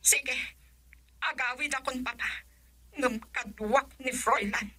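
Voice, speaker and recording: A woman's voice: high voice, fairly even intonation, measured pace, bright, forceful tone, full character voice. A Filipino woman playing a young daughter in love in a radio drama, earnest, pleading and defiant.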